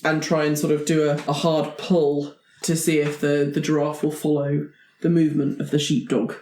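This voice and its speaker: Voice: mid-range voice, flat delivery, moderate pace, darkish tone, a little hiss or hum. Tabletop player in a roomy, echoing space. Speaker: player in a tabletop roleplaying game. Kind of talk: casual improvised game play. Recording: roomy, echoey sound.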